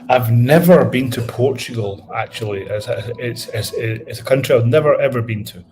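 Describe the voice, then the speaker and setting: A man speaking as a guest on a live show, hesitant and soft-spoken. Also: medium pitch, moderate pace. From Scotland. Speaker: guest drone pilot. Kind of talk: casual chat on a live show.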